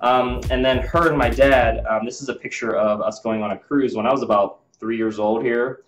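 A man's voice: medium pitch, steady tone, normal speed. An American man in his thirties telling personal stories casually in a conversational, explanatory way. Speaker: video host and health business owner. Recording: roomy echo with music behind.

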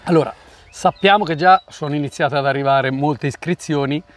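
Middle-aged male interviewer, somewhat high voice, leading questions, outdoor park. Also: brisk, no echo. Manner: prompting questions, tags with "no?".

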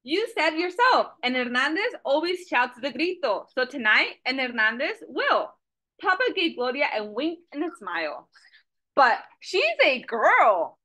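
A young woman reading a picture book aloud to children on a video call, with a lively questioning manner. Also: high-pitched, measured pace. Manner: animated, asks children questions, switches between English and Spanish. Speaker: storytime reader. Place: small office with a Mexico flag poster. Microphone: video call webcam.

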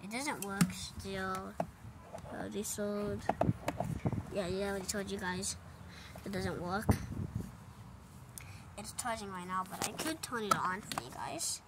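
A woman's voice: medium pitch, steady tone, a little slow, pauses often. A young girl filming a casual phone vlog, rambling and apologizing to her viewers as she shows off a toy car in a garage. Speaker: vlogger showing a toy ride-on car. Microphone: handheld phone held close. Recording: loud background noise.

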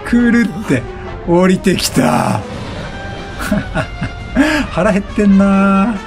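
A man commentates on a video game in a high, very animated voice. He reacts with bursts of repeated exclamations, while game music plays behind him. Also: very slow, creaky. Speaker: let's play gamer.

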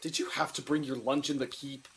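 A woman's voice: very deep voice, steady tone, a little fast, slight background noise. A woman playing a capable, sarcastic dinosaur park keeper in an audio drama. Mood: sardonic.

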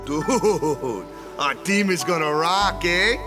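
Laid-back twenties ballplayer, friendly, slangy, swooping intonation, video game, background music. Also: male voice, on the high side, animated, slow pace. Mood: eager, coaxing. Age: 20s. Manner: laid-back, slangy, tag questions like "eh?" and "yeah?". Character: easygoing, friendly 23-year-old male island ballplayer.

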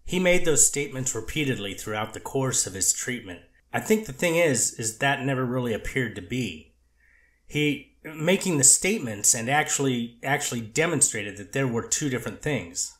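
A man's voice: mid-range voice, lively intonation, normal speed, a bit bright, lightly acted. A man reading a cautious counselor witness. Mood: measured. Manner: hedging, restarting answers as in the transcript. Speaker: treatment counselor witness, and reader of the intro. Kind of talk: readers theater courtroom reading. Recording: echoey room.

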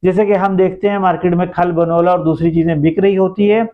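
A middle-aged man with a Pakistani accent speaks as a video host, explaining an idea step by step to his viewers. His voice sits high for a man. The recording is dull and lo-fi, made indoors. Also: fairly even intonation, fairly quick. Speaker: YouTube host. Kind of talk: explanatory business-idea vlog addressed to viewers. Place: small plain indoor room.

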